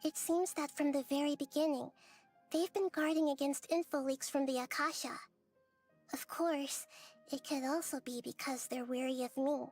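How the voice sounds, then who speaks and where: A woman voicing a gentle, childlike young goddess in an anime video game, speaking in an earnest, measured and articulate way. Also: sits high, fairly even intonation. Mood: thoughtful, composed. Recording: game music under the voice.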